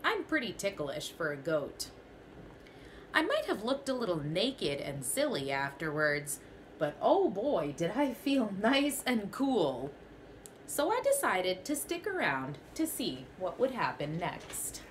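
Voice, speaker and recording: A woman's voice: medium pitch, wildly swooping pitch, takes it slowly, a little hiss or hum. A young woman teacher reading a children's picture book aloud in a small bedroom, telling it expressively in the first person.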